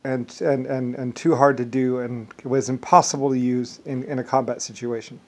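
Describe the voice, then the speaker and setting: A man in his forties talking casually to camera in a rambling, halting way, often repeating words for emphasis. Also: mid-range voice, little pitch movement. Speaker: vlogger. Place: small wood-furnished room at home. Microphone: phone or webcam at arm's length.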